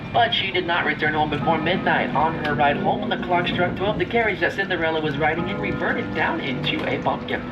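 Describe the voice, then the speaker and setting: A man working as a theme park boat guide, giving a scripted storytelling tour in a theatrical storybook manner. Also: on the high side. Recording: muffled distant sound, loud outdoor ride noise.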